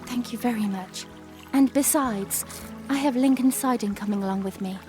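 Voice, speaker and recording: A woman playing a poised, gently teasing young princess in an audio drama. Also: fairly high pitch, normal speed, over music, lightly acted. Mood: gracious.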